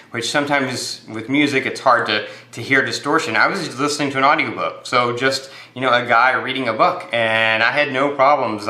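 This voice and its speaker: An American man with a bright, forward voice at a medium pitch talks through a casual product review. He explains in an unscripted way, pausing and repeating words as he goes. The room adds a noticeable echo.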